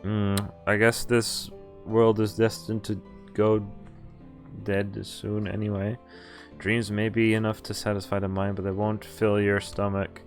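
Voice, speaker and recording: A man's voice: on the low side, little pitch movement, measured pace. A young man on a live playthrough, reading visual novel text aloud and casually breaking off to comment. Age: young adult. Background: game music.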